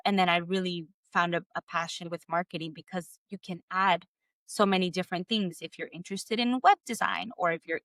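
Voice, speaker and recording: A woman from the United States who grew up speaking Spanish, a guest telling her career story in a relaxed interview, full of fillers and restarts. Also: fairly low pitch, clear, resonant tone, no room sound. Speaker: guest, clarity coach. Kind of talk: conversational interview.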